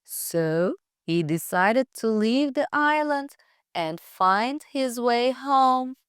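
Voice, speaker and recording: A woman reading a scripted children's fairytale aloud for English learners, with careful, deliberate phrasing. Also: on the high side, expressive, a bit bright, clear, resonant tone, dry recording, clean studio sound. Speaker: storyteller.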